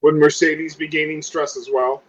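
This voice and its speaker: High-voiced man on a dull channel. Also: level tone, normal speed. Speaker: tabletop game player. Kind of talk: casual in-character game chat.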